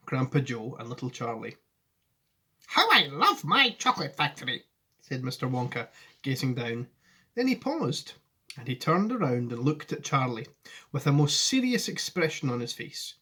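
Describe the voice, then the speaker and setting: Animated man reading, slightly husky. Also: mid-range voice, moderate pace. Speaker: primary school teacher. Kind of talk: storybook narration for children. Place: indoors, living room at home.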